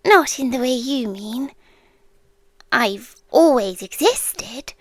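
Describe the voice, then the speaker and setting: Casting: British actress as ancient female apocalypse being for ASMR roleplay, high soft whispery voice, tender yandere calm, extremely slow delivery. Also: no room sound, exaggerated voice. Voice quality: soft, breathy, often whispered. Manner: slow, deliberate, with long pauses. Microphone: close microphone, dry.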